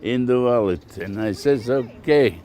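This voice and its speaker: A man's voice: mid-range voice, a little slow, dark tone, a little hiss or hum. An older man from Bulgaria with a Bulgarian accent, telling anecdotes casually and plainly as a shopkeeper being interviewed. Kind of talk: casual oral storytelling.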